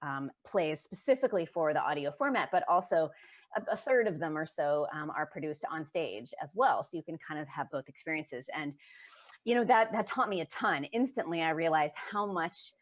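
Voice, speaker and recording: A woman with a distinctly low voice talks as a guest on a panel. She is thoughtful and enthusiastic, unscripted, and puts weight on her key words. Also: animated, moderate pace.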